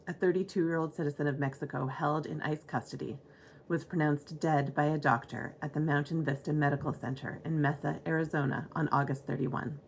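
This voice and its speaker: A woman's voice: deep voice, vocal fry, low-quality recording. A woman giving a formal scripted memorial reading. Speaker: reader in an online remembrance video. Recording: faint room noise behind.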